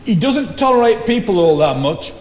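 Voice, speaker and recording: A man acts out an eager, folksy fellow in a period stage play. His voice is very high for a man and bounces around with friendly deference. The theatre around him is echoey and a little noisy. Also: very animated, normal speed, a little mellow. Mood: chatty. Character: simple, friendly, eager male visitor to a cabin. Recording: echoey theatre with background noise.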